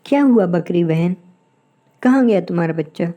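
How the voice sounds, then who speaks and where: A woman's voice: fairly low pitch, animated, moderate pace, warm tone, muffled recording, lightly acted. A woman voicing a kind, helpful young bird who speaks with earnest concern in a cartoon moral story.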